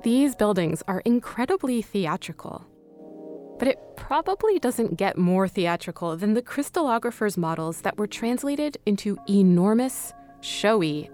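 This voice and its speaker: Woman, podcast narrator, scripted documentary delivery, middle pitch, background music. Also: darkish tone.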